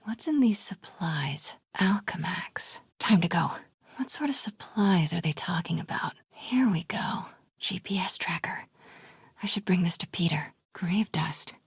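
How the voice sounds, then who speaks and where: A woman voicing a plucky, curious young American reporter in a video game, with a breathy voice and a natural, wry delivery. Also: fairly low pitch, animated, unhurried, dry recording, clean studio sound, full character voice. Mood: hushed, curious. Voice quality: breathy, often hushed.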